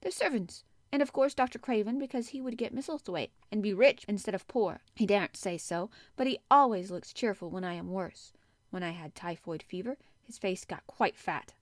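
A fretful young boy from a storybook, acted out by a woman reader. He sounds imperious and resentful, restless and quick to demand answers, in a very dry recording. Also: mid-range voice, no echo, close to an everyday voice. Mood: cross, bitter. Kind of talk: audiobook character acting.

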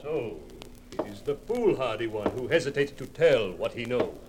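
A man acts a would-be desert king in vintage radio style. His voice is of medium pitch, and every sentence is measured, formal and grandly menacing. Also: expressive, noisy background, natural voice. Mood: cold menace. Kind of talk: old-time radio villain acting.

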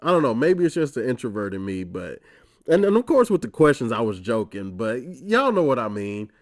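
A man's voice: mid-range voice, very animated, a little fast. A man in his twenties, congested from a cold, hosting his own podcast in a wry, profane, ranting casual monologue. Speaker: podcast host.

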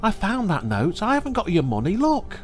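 Man as high-pitched pleading young boy. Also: wildly swooping pitch, a little fast. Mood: pleading, upset. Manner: pleading, plaintive. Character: earnest, worried young schoolboy protesting innocence.

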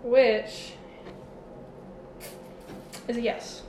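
A woman's voice: on the high side, level tone, extremely slow, a little mellow. A young woman reading tarot cards for friends in a casual, playful podcast chat. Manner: giggly, playful, reads cards aloud. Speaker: tarot reader. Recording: echoey room, background noise.

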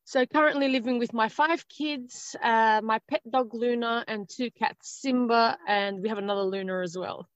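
A woman's voice: on the high side, normal speed, few pauses, dry recording. A woman in her thirties with an Australian accent, talking as a guest in a casual interview, rambling and hesitant with many fillers. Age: 30s. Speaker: guest author. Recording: dry, dull lo-fi sound.

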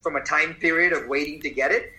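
Forceful, emphatic man giving advice. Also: on the high side, fairly even intonation, brisk, poor audio. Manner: emphatic, persuasive, repeats for effect. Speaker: guest, company CEO. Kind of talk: interview answers.

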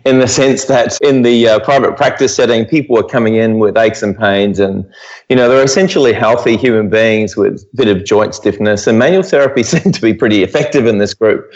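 A man's voice: lowish voice, brisk. New Zealand man, dull lo-fi sound. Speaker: guest expert, physiotherapy professor. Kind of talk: conversational explanation in an interview. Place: at home, remote interview.

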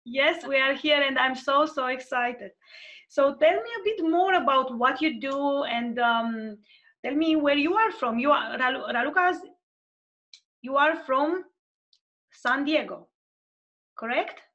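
A woman from Romania, hosting an online interview and speaking haltingly with many restarts. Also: high voice, fairly bright tone, dull audio. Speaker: podcast host.

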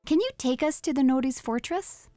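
A bold, curious young girl with a high, lively voice, as a male reader puts her on. She asks direct questions. The recording is dry, with faint music underneath. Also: normal speed, dry recording.

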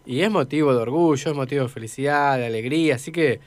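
A man's voice: mid-range voice, fast. An Argentine man hosting a radio interview in a casual, conversational style.

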